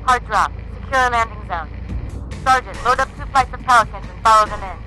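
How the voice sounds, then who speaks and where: An actress playing a brisk, authoritative military commander in a game, giving crisp, clipped orders. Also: female voice, fairly high pitch, pushed and bright, soft background music, low-quality recording. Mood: commanding.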